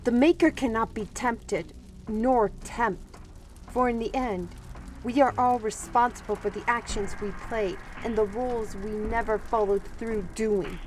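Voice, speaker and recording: Woman as earnest, slow-speaking fantasy steward. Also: fairly high pitch, fairly even intonation, darkish tone, a little hiss or hum, natural voice. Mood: earnest conviction. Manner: solemn, measured, defiant. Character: earnest, principled young female fantasy steward. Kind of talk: fantasy audio roleplay drama.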